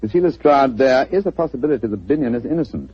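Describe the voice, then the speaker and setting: An actor playing a calm, incisive detective in a 1940s radio drama, measured and precise. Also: male voice, medium pitch, slightly rough. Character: calm, incisive detective, middle-aged man. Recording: muffled old radio recording.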